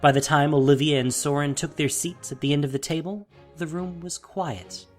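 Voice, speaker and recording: A man narrating a scripted fantasy story in a measured, dramatic way. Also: fairly high pitch, fairly even intonation, soft background music.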